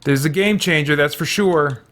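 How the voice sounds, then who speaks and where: A man's voice: a little high, normal speed, fairly bright tone. A man giving casual let's-play commentary, muttering to himself hesitantly and exclaiming mild oaths as he thinks aloud. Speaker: gaming video host.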